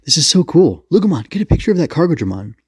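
An excitable young hacker hero from an adventure story, voiced by a man, pitched well up. He is naive and cheeky, exclaiming in an innocent tone, in a dry recording. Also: fairly quick, slightly rough, dry recording. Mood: excited.

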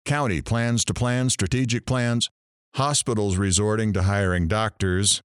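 A man's voice: fairly low pitch, fairly even intonation, a little slow, smooth, no room sound, studio quality, natural voice. A man playing a brash, crude, wisecracking talk radio host in an audio drama, with showy, sarcastic patter. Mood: hyped, sardonic. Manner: showy, sarcastic, innuendo-laden banter.

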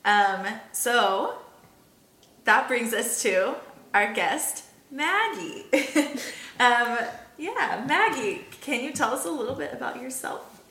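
Woman podcast host, takes her time, kinda high voice, chatting casually in an echoey room. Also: expressive, slow, pushed and bright. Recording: roomy echo.

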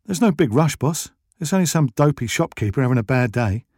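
A man voicing a kindly, calm policewoman in an audio story, speaking with patient, sympathetic care. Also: mid-range voice, no room sound, clean studio sound, voice pushed up. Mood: easygoing. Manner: slow, clear, sympathetic.

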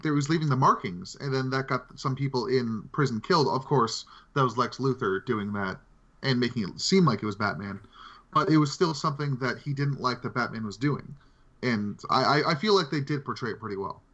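A man's voice: mid-range voice, brisk, a bit bright. Muffled man chatting on a podcast. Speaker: podcast co-host. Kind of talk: casual movie discussion. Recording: dull, muffled lo-fi voice track.

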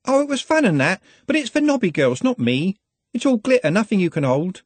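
A woman's voice: medium pitch, very animated, fairly quick, slightly rough, no room sound, voice pushed up. A man voicing a chatty, eager young working-class woman who rambles in long run-on sentences.

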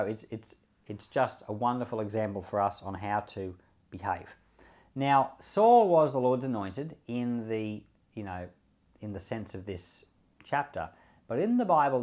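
A man's voice: lowish voice, a little mellow. A pastor giving conversational bible commentary and closing in prayer, often repeating words as he thinks aloud, with the odd light chuckle.

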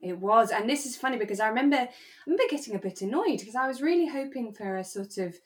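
A woman's voice: on the high side, expressive, fast talker. British woman chatting as podcast co-host. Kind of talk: relaxed chat recapping a radio drama.

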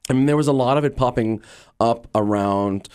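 A man from Louisiana speaking as an actor guest in an interview, telling stories in an enthusiastic, conversational and animated way. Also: medium pitch, normal speed, smooth. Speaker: interview guest, actor and radio drama creator.

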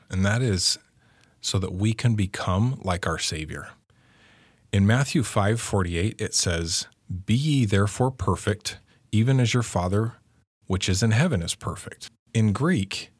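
A man's voice: on the low side, breathy edge, no room sound, studio quality. A man co-presenting a religious lesson, explaining scripture in an earnest teaching manner.

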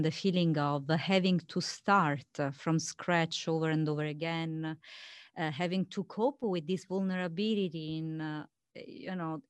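A woman's voice: low-pitched, no room sound. A woman interviewing a guest, asking long questions and offering frequent supportive affirmations. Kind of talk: conversational interview.

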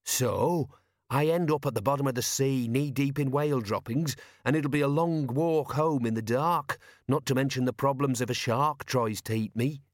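A man's voice: medium pitch, normal speed, no room sound, voice pushed up, natural voice. A man voicing a sardonic undead constable in an audiobook, with dry, sarcastic grumbling. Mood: glum.

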